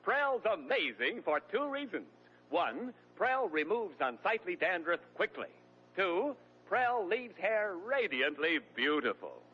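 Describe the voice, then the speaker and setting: A man's voice: high-pitched, sing-song, slow pace. A man announcing sponsor commercials and credits in a polished 1940s radio style. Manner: smooth, polished, emphatic. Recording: dull lo-fi old radio recording with music.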